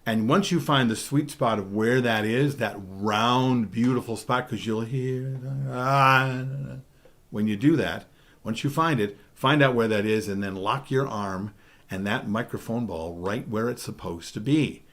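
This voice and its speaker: A middle-aged man with a baritone voice giving a DJ training talk, emphatic, often checking in with "okay?". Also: unhurried, a bit bright. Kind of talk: spoken presentation.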